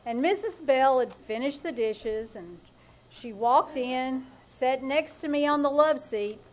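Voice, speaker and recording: Woman cast as exasperated husband, dry wit, vintage radio comedy, high voice. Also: lively intonation, a little slow, low-quality recording. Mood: wry. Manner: dry, wry, sighing asides. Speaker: actor in live old-time radio play. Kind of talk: 1940s radio comedy acting. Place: theater stage.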